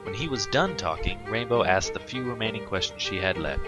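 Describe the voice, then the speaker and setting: Casting: male story narrator, low deep voice, scripted read, violin music clearly underneath. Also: animated, fairly quick. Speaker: fan fiction narrator.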